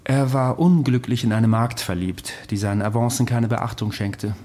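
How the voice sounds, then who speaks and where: A man plays an immortal who looks back on centuries of meddling. His mid-pitched voice is husky, and he tells his story in a measured, wry way as in an audio drama. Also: darkish tone, lightly acted. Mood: amused narration. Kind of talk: radio drama acting.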